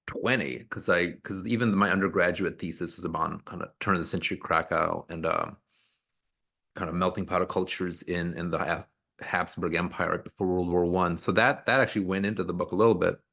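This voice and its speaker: A man's voice: deep voice, gravelly, a bit bright. A man speaking as an author and historian in a conversational interview, thoughtful, often restarting and repeating words as he searches for the right phrase; Polish was his first language. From United States, grew up in Pennsylvania.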